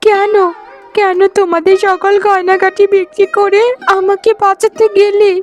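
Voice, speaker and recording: A woman as a high-pitched, wailing sickly young wife. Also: flat delivery, moderate pace, faint music behind. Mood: anguished. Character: sickly, bitter, stubborn youngest wife, later remorseful. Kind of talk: melodramatic cartoon voice acting.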